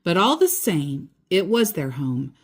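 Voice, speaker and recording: A woman's voice: lowish voice, very animated, unhurried, husky. A woman reading a children's picture book aloud, with simple explanations in casual asides to a young listener.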